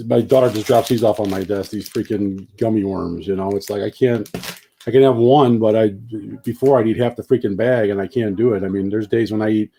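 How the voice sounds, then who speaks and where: A man in his late forties speaks in a fairly low voice in a casual group chat. His tone is dark and mellow, flat and plain-spoken. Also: a little fast, a little hiss or hum, poor audio. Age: 40s. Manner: flat, plain-spoken, says "freaking" a lot.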